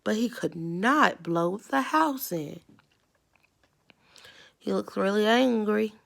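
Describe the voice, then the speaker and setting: A woman reads a children's story aloud in a medium-pitched voice with noticeable creak. She narrates and explains in simple terms, like an instructive reader for young listeners. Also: animated, slow pace, a bit bright.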